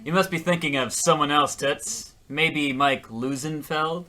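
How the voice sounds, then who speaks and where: An actor playing a self-dramatizing, attention-hungry loser in a comic radio play, rambling and melodramatic. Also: male voice, on the high side, fairly even intonation, unhurried, pushed and bright. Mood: hammy, eager. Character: attention-hungry, self-dramatizing male loser telling his story in a diner. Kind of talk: comic radio play acting in old radio show vein.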